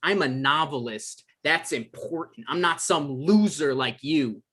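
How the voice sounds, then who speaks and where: A man's voice: a little high, animated, measured pace, bright, forceful tone, breathy edge, full character voice. A man playing a defensive, self-important novelist who whines and argues, in a table read of a screenplay. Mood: indignant. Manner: argumentative, exasperated. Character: defensive, self-important male novelist, whiny and quick to anger.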